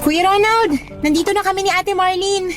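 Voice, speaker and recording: A woman's voice: very high-pitched, normal speed, over loud music. A woman playing a grateful, studious younger sister, speaking sincerely in a radio drama. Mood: light, casual. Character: grateful, devoted younger sister, a diligent student. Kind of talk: Tagalog radio drama dialogue.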